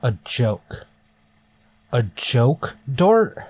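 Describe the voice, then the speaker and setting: An actor playing a stern, weary starship captain in a sci-fi radio drama, clipped and authoritative. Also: male voice, mid-range voice, sing-song, drawn-out words, darkish tone, no echo, exaggerated voice. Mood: weary, pondering.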